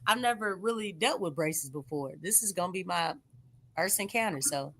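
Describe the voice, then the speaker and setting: A woman's voice: lowish voice, expressive, moderate pace, fairly bright tone. An American woman co-hosting a live sports show in an informal, playful, conversational way. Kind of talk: casual live sports chat.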